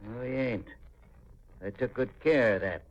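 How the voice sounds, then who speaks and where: An American man playing a greedy, scheming middle-aged country uncle in a 1950s radio mystery, folksy, curt and dismissive, with a husky voice. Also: medium pitch, a little slow, close to an everyday voice. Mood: scheming, insistent. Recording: dull, lo-fi 1950s radio recording.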